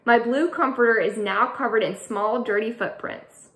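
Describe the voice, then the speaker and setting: A woman author reading her middle grade novel aloud, with an expressive storytelling delivery. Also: a little high, normal speed. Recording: echoey room.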